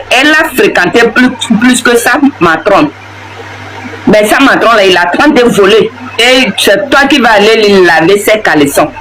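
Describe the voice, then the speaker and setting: Heated high-voiced Togolese man ranting. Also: sharp tone, a little hiss or hum, rough recording. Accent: Togolese French. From Togo. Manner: heated, repetitive, scolding. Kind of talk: impassioned political rant to camera.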